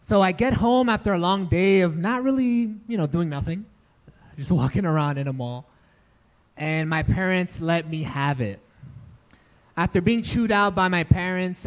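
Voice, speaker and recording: A young man with a slightly raised voice speaks to a church crowd gathered in a barn. He talks loosely and warmly about himself, laughing easily and dropping in fillers as he goes. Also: fairly high pitch. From Dominican Republic, raised in New York City. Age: young adult. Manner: relaxed, chatty, laughs easily, many fillers. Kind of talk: casual personal testimony to a congregation. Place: barn used as a church hall, rain outside.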